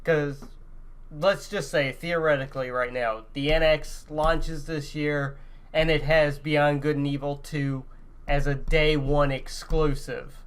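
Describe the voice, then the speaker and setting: Higher-pitched man chatting casually. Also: little pitch movement, slow, clean tone. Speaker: podcast co-host. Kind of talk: casual gaming podcast chat.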